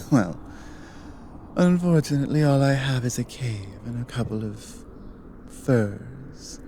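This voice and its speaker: A woman acts out an exiled cougar guardian, lonely and guarded yet eager. The voice sits low and moves very slowly, hushed and intimate in the manner of an ASMR roleplay, with breath showing through at times. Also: background noise, full character voice. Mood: hesitant, humble. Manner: slow, deliberate, low-voiced.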